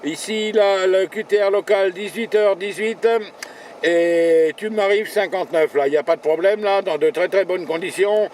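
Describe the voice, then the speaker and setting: A man with a slightly high voice makes casual ham radio contacts from a car, with faint vehicle noise around him. He rattles off call signs and jargon and often repeats his words. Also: normal speed, darkish tone. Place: inside a car, mobile radio station.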